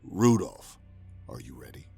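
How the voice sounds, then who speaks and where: A man playing a weary, grave and persuasive zealot soldier in an audio drama, with a gravelly voice and earnest, emphatic delivery. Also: rumbling low, big pitch swings, a little slow, mellow, soft background music, exaggerated voice. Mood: urgent.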